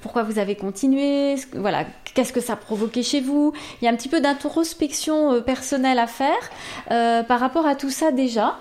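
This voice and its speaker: Gentle high-voiced woman coach. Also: fairly quick. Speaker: guest coach.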